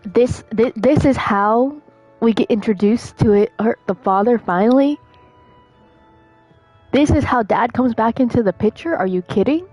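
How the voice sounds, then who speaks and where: A woman's voice: on the high side, a little slow, darkish tone, poor audio. A woman livestreamer chatting casually as she comments on a game she is playing. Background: game music.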